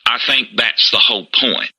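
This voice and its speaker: An actor playing an insistent, suspicious conspiracy-minded caller phoning in to a radio show. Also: male voice, mid-range voice, moderate pace, bright, forceful tone, slightly rough. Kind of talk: radio call-in acting.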